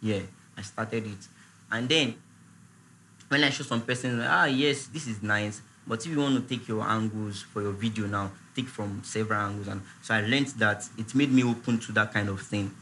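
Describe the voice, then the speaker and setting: A man, chatting casually as a guest on a talk show, relaxed and repeating his words. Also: on the low side, moderate pace, a little hiss or hum. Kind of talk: casual chat.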